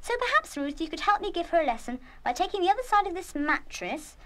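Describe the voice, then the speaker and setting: An actress playing a bossy, stern schoolgirl in charge of a dormitory in a children's radio play, brisk, scolding and sarcastic. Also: very high-pitched, big pitch swings, a bit bright, exaggerated voice. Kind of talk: full-cast children's radio play acting.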